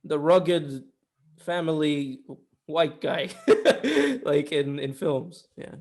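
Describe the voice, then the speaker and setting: A man's voice: fairly high pitch, expressive, very slow, a little mellow. A man co-hosting a casual film review, giving opinions in a blunt, argumentative way with some swearing.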